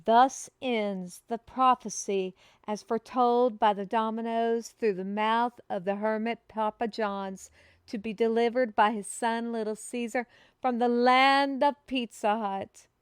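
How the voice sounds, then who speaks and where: An excitable female messenger from a fantasy kingdom, acted by a woman in an audio roleplay. The voice is smooth and fairly high, and the delivery is unhurried and theatrical, with grand archaic phrasing addressed straight to the listener. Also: no echo, clean studio sound, close to an everyday voice. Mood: eager, reciting.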